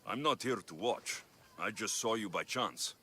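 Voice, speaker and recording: A man's voice: fairly low pitch, animated, measured pace, bright, forceful tone, a little hiss or hum, heavily acted. An actor playing a blunt male mercenary hero with a curt, dry manner.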